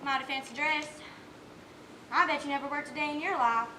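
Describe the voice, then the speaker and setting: A woman acting a gruff, hard-bitten farm wife on stage, blunt and rustic in her speech, projecting to the house. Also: high-pitched, fairly even intonation, a little fast, pushed and bright. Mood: gruff, sardonic. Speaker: student actor in a one-act play. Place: indoor stage, recorded live. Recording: roomy stage recording, faint background noise.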